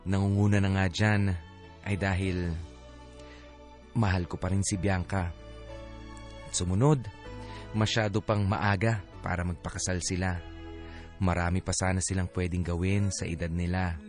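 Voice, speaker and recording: Low voiced guy telling his sad love story, radio drama, soft music behind. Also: flat delivery, unhurried, pauses often. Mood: heartbroken. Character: heartbroken adult man recounting his life story. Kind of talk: dramatic first-person radio narration.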